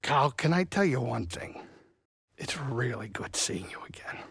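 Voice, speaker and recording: A man voicing a gruff but caring small alien saloon keeper in a video game, with a blustery, folksy, wisecracking delivery. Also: medium pitch, big pitch swings, measured pace, a bit bright, no echo, clean studio sound, heavily acted. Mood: earnest, concerned. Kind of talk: video game character dialogue.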